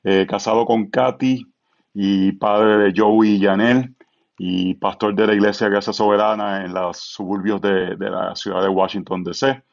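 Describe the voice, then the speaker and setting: A man in his forties with a Puerto Rican accent talks easily as a guest, low-pitched with a gravelly edge. The sound is dull and lo-fi, like a remote call. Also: unhurried. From Puerto Rico. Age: 40s. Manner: relaxed, filler-heavy, self-deprecating. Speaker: pastor and guest. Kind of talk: casual podcast conversation.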